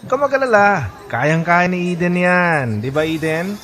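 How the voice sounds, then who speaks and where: A man's voice: high voice, animated, moderate pace, a bit bright. A man playing a protective, teasing college-age best friend in a Filipino radio drama, breezy and playful. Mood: teasing, amused. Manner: breezy, playful, laughs often. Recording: music bed under dialogue.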